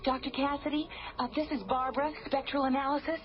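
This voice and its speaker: A woman's voice: high-pitched, level tone, quick pace, lightly acted. An actress playing a dutiful female lab analyst in a radio drama, reporting technical findings formally. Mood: dutiful, concerned. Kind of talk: science fiction radio drama acting. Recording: dull old radio recording.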